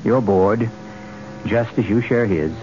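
An actor as the host of an old-time radio mystery, narrating in a brooding, deliberate manner. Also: male voice, fairly low pitch, noisy background. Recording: muffled old radio broadcast.

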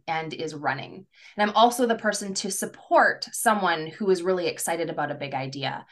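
A woman's voice: low voice, fairly bright tone. A woman co-hosting a podcast as a career coach, talking in an earnest, reflective way in long, flowing lists. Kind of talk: conversational podcast talk.

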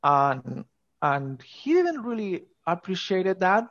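A man's voice: high-pitched, sing-song, takes it slowly, a bit bright, highs cut off. A man from northern Spain with a Spanish accent, talking enthusiastically and informally as a guest in a conversational interview. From Asturias, northern Spain. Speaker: podcast guest, scientist.

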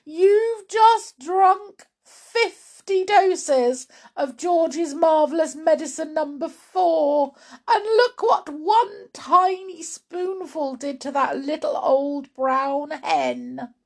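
Woman acting a high, wailing, flustered mother. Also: slow pace, hardly any pauses. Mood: wailing panic. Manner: wailing, pleading, crying out. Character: flustered, anxious middle-aged mother and wife.

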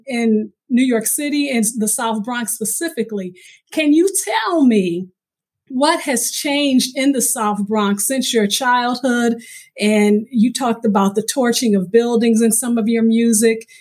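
A woman with a fairly high voice hosts a live radio interview. She addresses listeners and her guest in an affirming, encouraging manner, posing questions conversationally. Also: darkish tone. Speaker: radio show host and interviewer.

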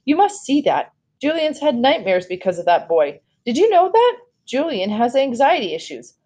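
A woman's voice: sits high, big pitch swings, moderate pace, voice pushed up. An indignant, combative mother from a children's audiobook, acted out by a woman narrator. She is defensive and argues sharply, biting back at whoever she speaks to. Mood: insistent, argumentative. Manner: sharp, interrupting, argumentative retorts.

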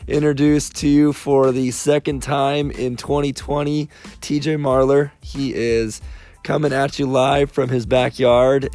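A man hosting a casual podcast chat, his voice raspy and worn, upbeat and friendly with filler pauses, recorded outdoors by a backyard bonfire. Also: mid-range voice, a little mellow. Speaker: podcast host.

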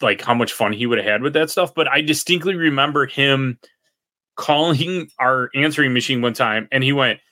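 Bright-voiced man telling lively stories. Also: medium pitch, moderate pace, no room sound, studio quality. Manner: animated storyteller, acts out quotes. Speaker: podcast co-host. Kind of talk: casual chat.

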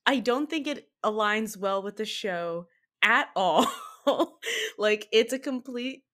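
Female podcast co-host, medium-pitched, casual and conversational, prone to chuckling mid-sentence. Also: slow, bright, forceful tone. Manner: giggly, chuckles while talking. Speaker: podcast co-host discussing anime.

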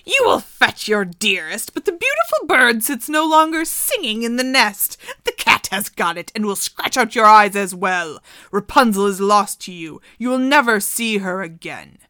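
A woman voicing a jealous old enchantress who scolds and mocks in a loud, shrill voice. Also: sing-song, moderate pace, few pauses, dry recording, studio quality. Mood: mocking.

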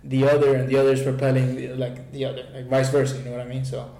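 A man's voice: mid-range voice, racing, echoey room, rough recording. A man hosting a casual conversation, talking with energy and often checking "right?". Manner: energetic, keeps saying "right?". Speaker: host.

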